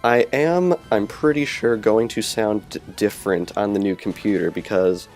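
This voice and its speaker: A man with a fairly low voice gives loose, rambling commentary over a video game, often trailing off and rephrasing himself. He records at home on a cheap earbud headset mic. Game music plays faintly underneath. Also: moderate pace, darkish tone. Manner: rambling, self-correcting, chatty. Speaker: let's play commentator. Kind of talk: casual gameplay commentary. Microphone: cheap earbud headset microphone.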